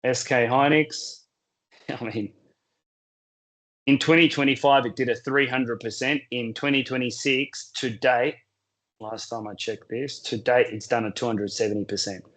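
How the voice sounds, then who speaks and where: A man, hoarse from a sore throat, talks through a solo market update. His voice sits at an ordinary middle pitch. He lays out his points one by one in a measured, explanatory way. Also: fairly even intonation, a bit bright. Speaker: crypto market commentator.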